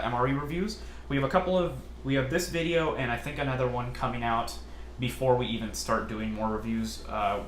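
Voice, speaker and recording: Easygoing deep-voiced man in a roomy echo. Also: fairly bright tone. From California, USA. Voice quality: generally deep voice. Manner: hesitant, many uh and um fillers, easygoing. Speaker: channel host. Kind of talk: casual Q&A chat. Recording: roomy echo, faint noise.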